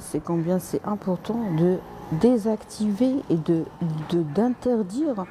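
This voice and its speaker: A woman's voice: medium pitch, mellow, a little hiss or hum. A woman doctor answering viewers' comments on a live stream, polite but direct, with frequent hesitations and restarts, slipping in English and Arabic words.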